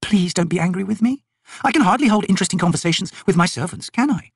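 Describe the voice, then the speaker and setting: Man putting on a high-pitched haughty noble sorceress. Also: expressive, quick pace, breathy edge, no room sound, studio quality, full character voice. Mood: coaxing. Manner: ornate, lilting, imperious. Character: haughty, teasing noble sorceress, female, flirtatious and grand.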